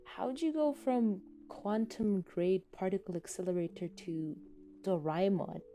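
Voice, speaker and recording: A wry, keen young woman read by a female narrator in a fan fiction story. She talks casually in a mellow voice. Light music sits behind her words. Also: mid-range voice, a little slow, close to an everyday voice. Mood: wry eager.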